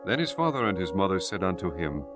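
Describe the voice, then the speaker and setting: A man with a deep voice narrates scripture in a solemn, formal manner. Music plays clearly behind the scripted reading. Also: big pitch swings, fast talker. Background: prominent music.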